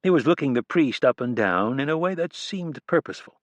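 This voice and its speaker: Male narrator, mid pitch, even measured reading, dry close mic. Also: no echo. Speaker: audiobook narrator. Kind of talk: scripted narration.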